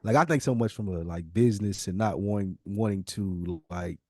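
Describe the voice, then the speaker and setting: Low-voiced man chatting casually. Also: moderate pace, a little mellow, no room sound, clean studio sound. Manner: reflective, circling and repeating words as he thinks aloud. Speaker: podcast co-host. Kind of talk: casual chat.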